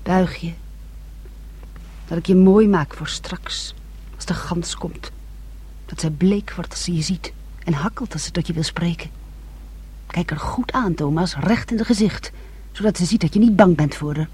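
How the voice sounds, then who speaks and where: An actress playing a bitter, jealous, possessive young sister in a radio drama, intimate and spiteful, with a husky, breathy voice. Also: female voice, fairly low pitch, normal speed, pauses often, dark tone.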